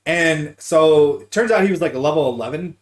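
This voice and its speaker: A man's voice: on the high side, animated, fairly quick. A man hosting a casual gaming podcast, chatting in a slangy, profane, teasing way. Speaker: podcast host.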